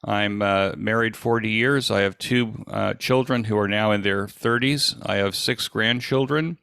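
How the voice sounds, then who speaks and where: A man in his sixties with an American accent gives an informal online presentation in a halting, conversational, self-deprecating way. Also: fairly low pitch, creaky, a bit bright, clean tone, dry recording, studio quality. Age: 60s. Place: indoors at a desk, on a video call.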